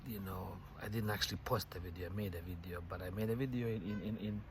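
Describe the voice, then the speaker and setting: Middle-aged guy thinking out loud, lowish voice, lots of outdoor noise and traffic behind him. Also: fairly even intonation, fast talker. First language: Bosnian. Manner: rambling and musing, with many "you know" fillers and soft laughs. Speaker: vlogger. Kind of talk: casual reflective monologue. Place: outdoors on a bench by a lake.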